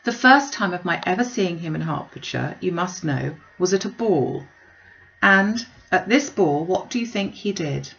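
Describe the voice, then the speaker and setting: Woman reading as a witty, teasing young gentlewoman. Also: fairly low pitch, animated, normal speed, creaky, muffled recording. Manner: arch, spirited, mock-serious. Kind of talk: storybook reading.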